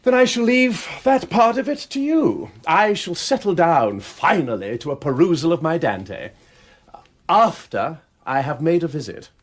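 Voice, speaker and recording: An aristocratic English sleuth in a radio drama, pitched fairly high and swooping freely. He has an upper-class accent and talks in airy, wordy phrases, affable and self-mocking, with a dry tease underneath. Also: sing-song, measured pace. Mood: smug, airy. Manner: airy, wordy, drily teasing. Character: nimble-witted aristocratic gentleman sleuth, affably self-deprecating. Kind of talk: British radio drama acting.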